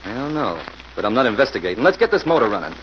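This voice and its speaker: An actor playing a tough, jumpy gangster who barks agitated orders in an old radio crime drama. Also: male voice, medium pitch, big pitch swings, very fast, natural voice. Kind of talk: 1940s radio acting. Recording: muffled, noisy old radio recording.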